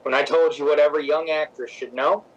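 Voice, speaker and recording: An actor playing a wry, candid, self-assured stage director in a radio drama, speaking plainly. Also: male voice, fairly high pitch, steady tone, fast talker, lightly acted. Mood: wry, rebuffing. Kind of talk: old-time radio drama acting. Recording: slightly dull, lo-fi sound.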